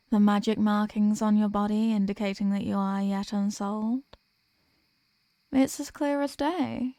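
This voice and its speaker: Elder vampire woman , voiced by an actress: aristocratic, possessive, teasing. Unhurried delivery, close dry sound, ASMR roleplay. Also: fairly high pitch, a little mellow, no room sound. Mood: shrewd, condescending. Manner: haughty, teasing, formal and deliberate diction. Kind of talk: ASMR roleplay audio drama.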